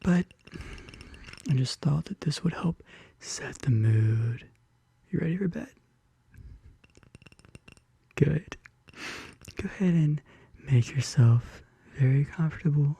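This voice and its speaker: A soft-spoken ASMR host murmuring and whispering intimately and slowly in a soothing bedtime-story style, close to the microphone. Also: medium pitch, vocal fry, husky, no room sound. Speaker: ASMR video creator and host. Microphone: close studio condenser microphone.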